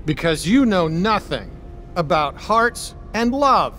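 An actor voicing a loyal, earnest toy cowboy leader who is defiant, in an animated video game. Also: male voice, sits high, slow, soft background music, heavily acted.

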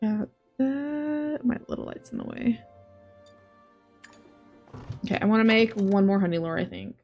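A young woman talks her way through a relaxed game stream in an easy, drawn-out manner, her voice slightly raspy. She speaks into a headset at home with her thoughts running aloud. Soft music plays faintly underneath. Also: mid-range voice. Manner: chatty, thinking aloud, soft asides to chat. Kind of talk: casual gameplay commentary.

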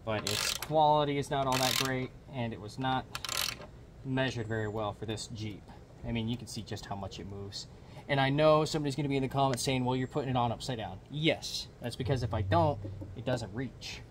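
A man's voice: medium pitch, fairly quick. A young American man giving instructional how-to narration as host of a DIY car channel. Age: young adult. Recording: clear background noise, clicks and jangling.

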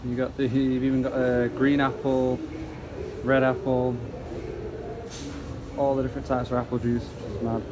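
A man's voice: medium pitch, moderate pace, pauses often. A man talks his way through a supermarket in an easygoing, chatty manner. His voice stays fairly level, with little rise and fall. A heavy wash of store ventilation noise sits under everything, as if filmed on a handheld phone. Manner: relaxed, chatty, pointing out prices. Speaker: vlogger touring a supermarket. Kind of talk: casual walk-through narration. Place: large supermarket indoors, off camera. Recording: loud store air-conditioning hum behind.

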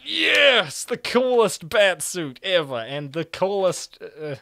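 A man's voice: sits high, sing-song, slow pace, gravelly, bright, forceful tone, dry recording, studio quality. A man co-hosting a casual comic reading, with wry, incredulous commentary. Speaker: co-host.

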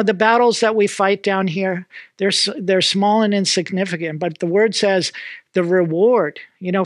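A man's voice: high-pitched, dry recording. A middle-aged American man preaching to Bible school students, checking in with "amen?" and "okay?" after nearly every point. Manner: punctuates phrases with "amen?" and "okay?". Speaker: pastor. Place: small indoor room with mantel decor.

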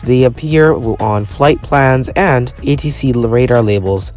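A man's voice: mid-range voice, a little mellow. Man narrating over background music. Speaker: narrator of an explainer video. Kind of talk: scripted narration, documentary explainer.

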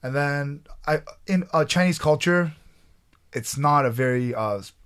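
A man in his thirties from Taiwan, raised in Canada, telling his story as a podcast guest in a small studio, animated and halting with restarts. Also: on the high side, clean tone. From Taiwan, raised in Canada from age nine. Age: 30s. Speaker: guest, pro athlete. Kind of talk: storytelling in an interview. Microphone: desk mic on boom arm.

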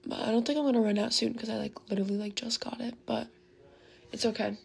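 A young woman in her late teens chatting casually while doing her makeup, rambling and filling her talk with "like", her voice worn and going hoarse. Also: fairly high pitch, flat delivery, fast talker, a little mellow. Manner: rambling, heavy use of "like", chatty. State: losing her voice. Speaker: beauty vlogger. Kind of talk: casual get-ready-with-me chat. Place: bedroom at a vanity desk, indoors. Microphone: phone camera close in front.

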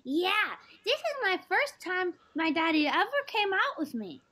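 A mischievous little kid girl, sharp bright voice, very animated, old radio comedy. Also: very high-pitched, unhurried, exaggerated voice. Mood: mischievous, innocent. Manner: childish, wheedling. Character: mischievous, bratty little girl pestering her daddy.

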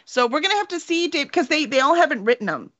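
A woman's voice: sits high, expressive, fast talker, sharp tone. A woman hosting a casual chat with her tabletop players over a video call, rambling and self-deprecating. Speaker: game master and show host. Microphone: video call webcam. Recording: dull lo-fi webcam audio.

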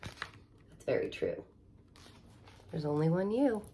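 A woman in her thirties reading a children's picture book aloud like a teacher, expressive, with chatty asides straight to young listeners. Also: sits low, takes it slowly, vocal fry, a little hiss or hum. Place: living room at home, indoors.

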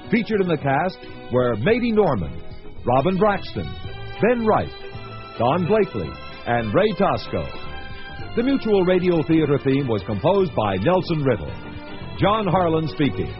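A man reading scripted credits as a radio announcer. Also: a little high. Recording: muffled radio broadcast, music behind.

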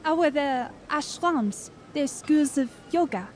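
An actress playing a caring, gently persistent young woman in an audio drama, with a gentle, questioning manner. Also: high-pitched, expressive, takes it slowly, a little hiss or hum. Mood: curious, concerned.

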